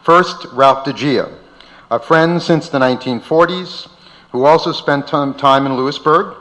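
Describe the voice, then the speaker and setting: A man's voice: fairly high pitch, measured pace, fairly bright tone, rough recording. A man narrating from a script aloud as the host of a live event.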